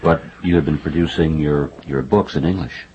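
A man interviewing an author, asking his questions in a halting way with repeated words and many "uh"s. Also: very deep voice, dark tone. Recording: dull lo-fi sound with faint noise.

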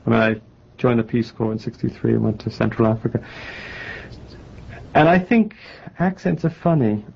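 A man in his forties from New England, a novelist answering interview questions in a halting, wry way, with many restarts and a faintly English-tinged American accent. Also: medium pitch, animated, creaky, slightly rough. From New England, United States. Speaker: novelist guest. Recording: muffled lo-fi interview tape.

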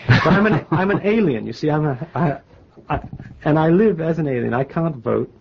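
A man in his forties from New England, a novelist answering interview questions in a halting, wry way, with many restarts and a faintly English-tinged American accent. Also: on the high side, expressive, vocal fry, a little hiss or hum. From New England, United States. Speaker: novelist guest. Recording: muffled lo-fi interview tape.

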